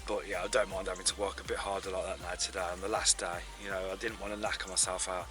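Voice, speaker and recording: A man's voice: low-pitched, fast, over music. A man vlogging outdoors at a lakeside, talking casually to camera with lots of fillers and sounding tired and congested. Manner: relaxed, chatty, with many fillers. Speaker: fishing vlogger.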